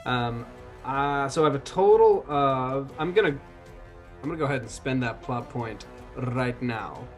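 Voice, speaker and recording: A man's voice: medium pitch, lively intonation, a little slow, soft background music. A man chatting casually as a player in a roleplaying game, jokingly describing what his character does.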